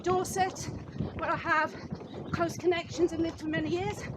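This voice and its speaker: High-voiced woman in her fifties, from Wales. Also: little pitch movement, normal speed, noisy background. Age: 50s. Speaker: running channel co-host. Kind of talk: casual chat to camera.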